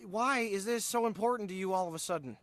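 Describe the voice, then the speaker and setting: A man voicing an awkward, sarcastic, scrawny teenage Viking boy in an animated film, nasal, with a wry, stammering delivery. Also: sits high, fast, no echo. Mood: frustrated.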